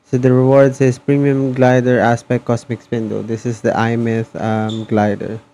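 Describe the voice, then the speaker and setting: A man chatting casually on a game livestream in a hesitant, halting manner. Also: mid-range voice, little pitch movement, dark tone. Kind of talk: casual stream chat.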